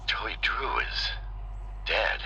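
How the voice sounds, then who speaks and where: An older, ambitious tycoon from a horror game, heard on a faintly hissy audio log. His low voice has a creaky grain and a forceful edge. He muses with measured, wistful grandeur. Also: big pitch swings, muffled recording, exaggerated voice. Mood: wistful, mournful.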